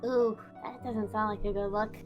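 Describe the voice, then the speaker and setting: A woman's voice: mid-range voice, fairly even intonation, normal speed, muffled recording, faint music behind. A woman improvising a reformed fire mage in a game roleplay, hesitant and stammering, often repeating words.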